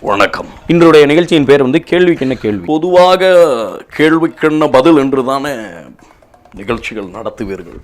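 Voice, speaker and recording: A man presents a show in a conversational way. His voice is a little high and moves in lively swings, with faint music behind.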